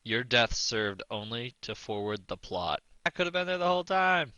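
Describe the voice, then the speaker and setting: A man's voice: mid-range voice, very animated, moderate pace, pushed and bright, no echo, muffled recording. A man giving casual, wry, joking reaction commentary over a video game. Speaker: video creator.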